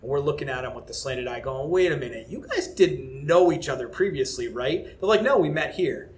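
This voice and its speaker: A man's voice: mid-range voice, expressive, a little fast. A man talking casually as a taproom co-owner in an interview, enthusiastic and rambling with lots of restarts.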